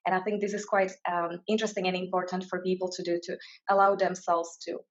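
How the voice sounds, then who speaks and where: A woman with a Slovak accent speaks in a lowish, even voice. She pauses often with fillers as she answers interview questions. The sound is dull and lo-fi. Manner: thoughtful, many fillers and restarts. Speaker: guest, mindfulness and career coach.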